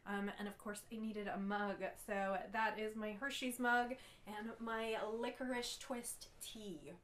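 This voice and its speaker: A woman's voice: mid-range voice, steady tone, a little slow, a bit bright, roomy sound. A woman hosting a casual knitting video podcast, stuffy and congested from a cold, chatting along with frequent ums. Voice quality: nasal, stuffy. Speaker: podcast host.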